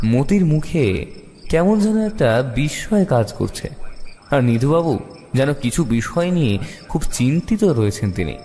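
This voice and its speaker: A man narrating a detective story in the first person with expressive, suspenseful dramatic delivery. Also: fairly high pitch. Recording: long reverb, music behind the voice.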